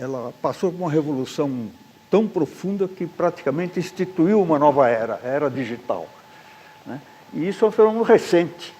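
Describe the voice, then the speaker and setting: A man's voice: fairly high pitch, a little mellow, slight background noise. Hesitant Brazilian man, faint ambience. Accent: Brazilian Portuguese. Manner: thoughtful, hesitant, uses fillers. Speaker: panel guest. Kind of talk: debate answer.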